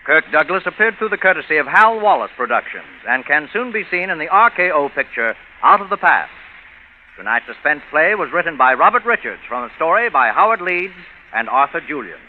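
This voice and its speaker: Higher-pitched formal 1940s male radio announcer. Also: big pitch swings, fairly quick, a little hiss or hum. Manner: formal, scripted delivery. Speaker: program announcer. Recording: muffled old radio, faint music.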